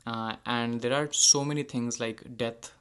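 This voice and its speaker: A young man whose first language is Hindi talks through his thoughts in an unscripted monologue. His pitch sits in the ordinary middle range for a man. He hesitates often, restarting sentences and searching for words. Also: fairly even intonation, a little slow, fairly bright tone, clean tone. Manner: hesitant, many fillers and self-corrections. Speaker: channel host.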